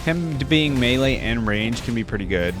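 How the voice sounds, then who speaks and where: A man's voice: mid-range voice, animated, normal speed, over music. A man hosting a reaction video with casual, conversational commentary, thinking aloud and qualifying his opinions as he goes.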